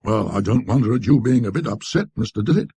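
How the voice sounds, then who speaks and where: A man acting blustering male shopkeeper, husky, swooping pitch, chatty, self-justifying, storybook character. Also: expressive, fast talker, dark tone, no echo, studio quality, full character voice. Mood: indignant, defensive. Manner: colloquial, self-justifying, rambling. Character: rattled, talkative, blustering male antique dealer.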